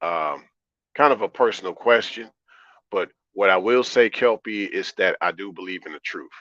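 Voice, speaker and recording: Deep baritone man in a roomy, dull recording. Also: normal speed. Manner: calm, relaxed, repeats phrases for emphasis. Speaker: livestream host. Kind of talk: conversational livestream monologue, answering chat. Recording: roomy, slightly dull lo-fi audio.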